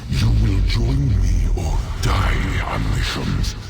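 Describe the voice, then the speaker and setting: An ancient demon prince speaks for a fantasy game in a deep, extremely slow voice. He is commanding and ominous, with loud music rumbling behind every word. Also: huge pitch swings, exaggerated voice. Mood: cold menace. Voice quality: deep and gravelly. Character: menacing male daedric demon prince, ancient and imperious. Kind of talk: scripted fantasy game acting.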